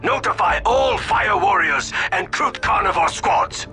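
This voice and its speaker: A man's voice: high voice, expressive, unhurried, heavily acted. A man voicing a dutiful, resolute alien military commander with formal manners in a video game cutscene. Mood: urgent, commanding. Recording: loud music behind the voice. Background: loud music.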